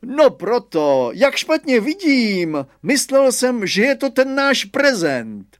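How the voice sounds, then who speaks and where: A man voicing a jovially pompous school headmaster who calls out loudly in drawn-out phrases, in a storybook tale. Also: extremely high pitch, very animated, no room sound, pitched above the performer's own voice. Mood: knowing, amused. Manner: loud, drawn-out calling. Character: bald school headmaster with cane and pince-nez, jovially pompous.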